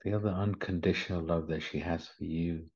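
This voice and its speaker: Very deep-voiced man guiding a meditation. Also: steady tone, breathy edge. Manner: gentle, soothing, unhurried phrasing with short instructions. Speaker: guided meditation leader. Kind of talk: guided meditation. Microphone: video call. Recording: slightly muffled video call audio.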